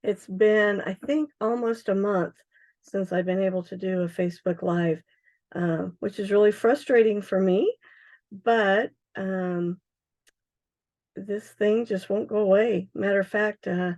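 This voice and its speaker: A middle-aged woman with a hoarse, froggy voice, chatting casually as she demonstrates craft supplies on a livestream, with many ums and uhs. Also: medium pitch, fairly even intonation, measured pace, darkish tone. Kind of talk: casual live product demonstration.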